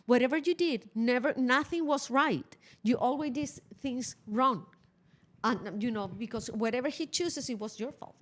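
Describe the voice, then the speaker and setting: A woman's voice: fairly high pitch, normal speed. Blunt middle-aged woman chatting. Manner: blunt, opinionated. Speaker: podcast co-host. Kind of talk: casual conversational storytelling.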